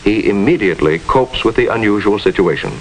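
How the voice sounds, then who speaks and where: A man's voice: lowish voice, animated, fairly quick, rough recording. An American man giving formal, instructive narration with precise diction in the style of a 1950s educational film. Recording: hissy 1950s film soundtrack.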